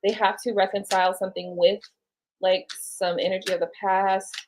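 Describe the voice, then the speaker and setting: A woman's voice: medium pitch, little pitch movement, moderate pace, darkish tone, slight background noise, low-quality recording. A woman reading tarot cards on a casual live stream, rambling and chatting to her viewers and to spirit. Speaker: tarot card reader.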